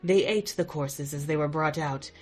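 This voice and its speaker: A woman's voice: deep voice, moderate pace, soft background music. A woman narrating parts of a fan audio drama in a dry, matter-of-fact way.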